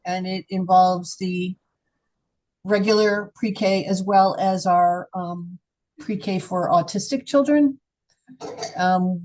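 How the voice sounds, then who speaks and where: A woman's voice: mid-range voice, a little mellow, low-quality recording. An older woman speaking as a school board chair, giving an informal update on a video call from home. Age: older, grandmother.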